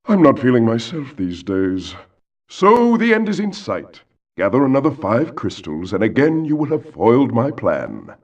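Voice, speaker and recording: An actor playing a ranting, pompous mad scientist villain in a video game cutscene, melodramatic and theatrical. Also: male voice, mid-range voice, huge pitch swings, normal speed, far from the mic, lo-fi sound. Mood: sardonic, aching. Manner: pompous, melodramatic, swinging from sulking to shouting.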